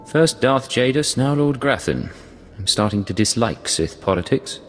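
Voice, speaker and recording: An actor voicing a cool, skeptical secret agent in a video game, with a dry, guarded manner. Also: male voice, mid-range voice, lively intonation, normal speed, big-room sound, soft background music, lightly acted. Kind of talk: video game dialogue acting.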